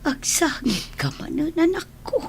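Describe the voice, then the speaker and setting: A woman playing a gentle, worried elderly mother in a radio drama, speaking with a Filipino accent in a tender, consoling way. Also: extremely high pitch, very animated, measured pace, a little hiss or hum, exaggerated voice. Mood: soothing, sad.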